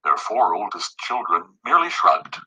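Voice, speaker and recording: Very bright, animated male storyteller. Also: fairly low pitch, moderate pace, slightly rough, poor audio. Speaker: narrator.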